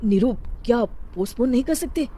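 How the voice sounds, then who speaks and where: A woman's voice: on the high side, lively intonation, normal speed, noisy background, natural voice. A woman voicing a practical, often stressed young husband in a story, hesitant and matter-of-fact. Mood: worried.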